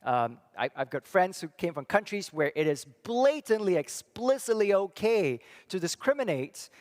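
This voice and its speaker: A middle-aged man from Singapore giving a church talk, thoughtful and halting, with many fillers and restarts. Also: sits high, very animated. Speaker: church speaker and psychology professor. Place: church hall. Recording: long reverb of a hall.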